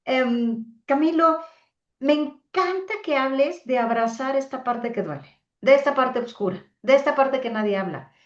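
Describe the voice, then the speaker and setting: A woman hosting an interview, asking long, probing questions in an enthusiastic, warm way. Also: sits high, a little slow. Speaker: interviewer host. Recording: roomy, slightly dull.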